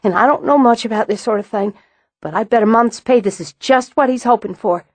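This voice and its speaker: A woman narrator voicing an earnest young mother who talks plainly and bluntly, a bit higher than her narration. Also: brisk, no echo, natural voice. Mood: urgent.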